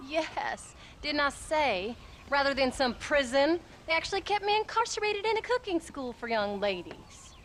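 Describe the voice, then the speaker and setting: An actress playing a sharp-tongued but loving frontier wife in a Western game, with a sardonic, plain-spoken manner. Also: female voice, high voice, lively intonation, normal speed, slight background noise. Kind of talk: cinematic Western game dialogue.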